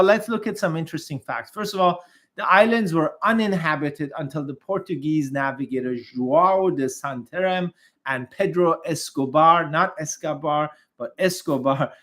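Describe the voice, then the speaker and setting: A man with a slightly high voice talks through a promotional explainer. He is conversational and salesy, adds chatty asides and quick laughs, and trips over foreign pronunciations. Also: fairly bright tone. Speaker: investment migration firm presenter.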